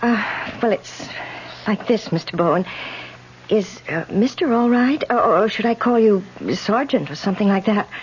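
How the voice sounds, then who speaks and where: A woman's voice: mid-range voice, moderate pace, lightly acted. An actress playing an anxious, suspicious landlady in an old-time radio drama, flustered and stammering as she talks. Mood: nervous, confiding. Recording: muffled, noisy old radio recording.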